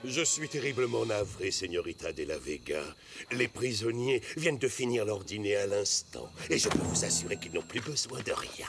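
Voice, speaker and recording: A man's voice: lowish voice, animated, moderate pace, loud music behind the voice. A man voicing a smug military officer in a cartoon, formal and oily in his politeness. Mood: smugly polite. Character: smug, falsely courteous male military officer. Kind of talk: French animated series acting.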